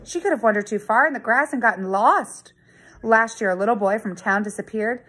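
A woman in her thirties, a teacher, reading a children's story aloud in a dramatic, expressive storytelling manner with deliberate emphasis. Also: on the high side, slightly rough. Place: living room at home. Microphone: phone or tablet at arm's length.

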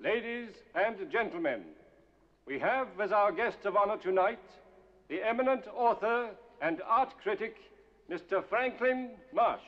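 Ceremonial British male toastmaster, middle-aged, high projected voice, formal and stately, muffled vintage film sound. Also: lively intonation, unhurried, long pauses. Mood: ceremonious. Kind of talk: ceremonial announcement, 1960s film. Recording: dull film soundtrack with noise.

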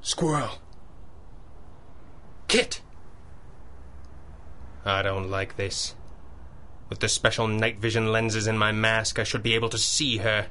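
A man's voice: fairly low pitch, steady tone, slow, pauses often, pushed and bright, a little hiss or hum. An actor playing a determined masked vigilante hero in a 1930s-style radio drama, narrating his own actions with melodramatic urgency. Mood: wary.

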